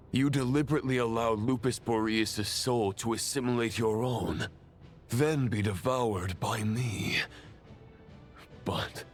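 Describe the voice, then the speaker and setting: A man playing a cold, solemn supernatural judge of souls in a video game, with grave, formal, measured delivery. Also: fairly low pitch, natural voice. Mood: probing. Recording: music behind the voice.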